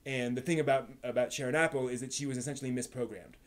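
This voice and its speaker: A man hosting a casual live stream, rambling analytically as the host, often halting and repeating words while thinking aloud. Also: mid-range voice. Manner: analytical, explanatory.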